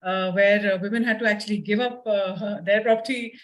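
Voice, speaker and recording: A woman moderates a discussion in a conversational way. Her pitch is average, and she is attentive and agreeing, pausing briefly as she adds to the point. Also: fairly even intonation. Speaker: discussion host. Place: online discussion call.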